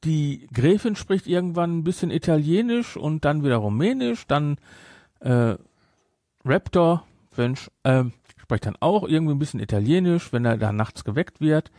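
A man's voice: on the high side, lively intonation, normal speed, dark tone, no room sound, studio quality. A man speaking as a podcast host, discussing a film casually and emphatically, repeating his points to drive them home.

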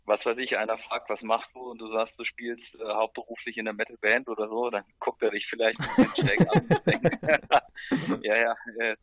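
A man speaking casually and colloquially as a musician in an interview, with hesitant pauses and filler sounds. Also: medium pitch, a bit bright, no echo, poor audio. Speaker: metal band musician, interview guest.

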